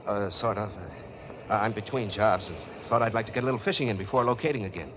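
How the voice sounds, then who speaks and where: Guy doing hard-boiled deep voice drifter in over his head, 1940s radio drama, muffled old audio. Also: fast talker, a little hiss or hum, lightly acted. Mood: guarded. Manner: terse, brooding, hard-boiled. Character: uneasy hard-boiled male drifter, reluctant accomplice and narrator. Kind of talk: 1940s radio acting, first-person narration. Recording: dull 1940s radio recording.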